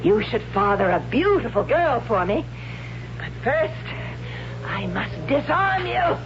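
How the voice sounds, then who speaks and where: An actress playing a proud, taunting warrior huntress in 1970s radio drama style, commanding and theatrical. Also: female voice, a little high, very animated, unhurried, noisy background. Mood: mocking. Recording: dull old radio recording with music.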